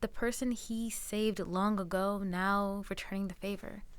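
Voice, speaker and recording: A woman's voice: mid-range voice, fairly even intonation, a little slow, clean tone, no echo. A woman hosting a radio program in a chatty, playful, informal way, addressing listeners directly.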